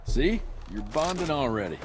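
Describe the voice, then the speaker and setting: An actor playing a tough, cocky younger vampire hunter in a game cutscene, blunt, dry and threatening. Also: male voice, medium pitch, sing-song, normal speed, music in the background, close to an everyday voice. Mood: dry threat. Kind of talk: video game cutscene acting.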